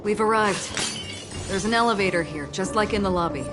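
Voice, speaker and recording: An actress playing an alert, practical young woman adventurer in a video game, with a brisk, matter-of-fact manner. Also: mid-range voice, close to an everyday voice. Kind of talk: video game story acting. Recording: music behind.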